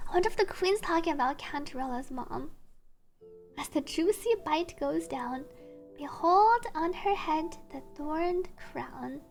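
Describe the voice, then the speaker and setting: A woman's voice: high-pitched, animated. A woman livestreamer reading game text aloud and adding chatty asides, with game music behind her. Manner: reads story text slowly, then chatty asides. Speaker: streamer, VTuber.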